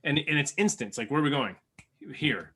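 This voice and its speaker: A man's voice: fairly high pitch, lively intonation, fairly quick, bright, forceful tone, husky. A man co-hosting a podcast in casual roundtable chat, speaking emphatically and repeating phrases for effect. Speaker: podcast co-host.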